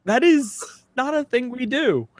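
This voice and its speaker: A man's voice: very high-pitched, expressive, unhurried, dry recording, studio quality. A man co-hosting a comedy podcast, bantering casually and doing comic readings. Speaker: podcast co-host.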